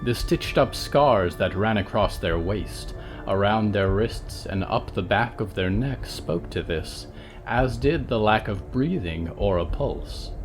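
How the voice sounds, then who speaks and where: A man reading scripted gothic horror fiction as a narrator, in a measured, dramatic storytelling manner. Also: on the low side, animated, faint music behind.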